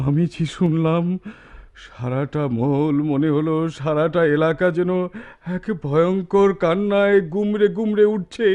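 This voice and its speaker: Tyrannical landlord lord: melodramatic, slow declamation, high-pitched, repeats himself, for radio drama. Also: male voice, a little mellow. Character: tyrannical landlord raja, haughty, raging, haunted by terror. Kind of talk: theatrical radio drama acting.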